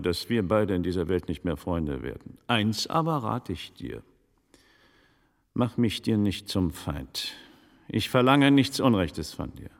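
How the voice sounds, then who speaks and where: Gentleman investigator, lowish male voice, sardonic, impatient, probing, commanding, radio drama delivery. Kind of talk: German radio play acting.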